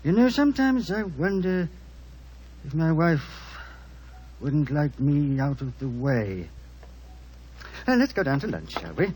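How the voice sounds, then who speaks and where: A man's voice: a little high, big pitch swings, a little slow, pauses often, noisy background. A man playing a suspicious, sarcastic husband in 1940s radio style, petulant and formal. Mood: sardonic.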